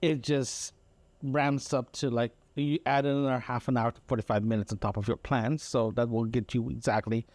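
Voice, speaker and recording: A man's voice: mid-range voice, steady tone, moderate pace, darkish tone, no echo. A man from Canada hosting a casual audio diary, telling everyday stories in a rambling, repetitive, mildly exasperated way with frequent check-ins to the listener. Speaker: podcast host.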